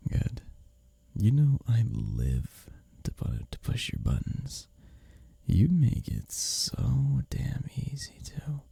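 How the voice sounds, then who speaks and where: Male actor as taunting office rival, mellow dark husky voice, close dry sound, sardonic, flirty bickering, roleplay audio drama. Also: mid-range voice, huge pitch swings, drawn-out words, pauses often, no room sound, full character voice. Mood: teasing, taunting. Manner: sardonic, teasing, needling, with breathy chuckles. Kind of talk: intimate roleplay audio drama, spoken to the listener.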